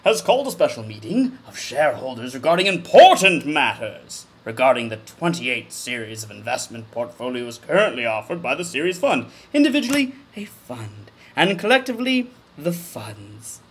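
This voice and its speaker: A man giving a mock-dramatic comedic reading of a formal document, with overblown emphasis and deadpan muttered asides. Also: medium pitch, moderate pace, hardly any pauses, breathy edge.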